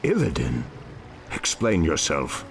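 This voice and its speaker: A man playing a grave, wise ancient elven druid leader with a measured, formal delivery in a video game. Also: a bass voice, wildly swooping pitch, gravelly, slight background noise, exaggerated voice. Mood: grave concern. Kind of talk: dramatic video game cutscene acting.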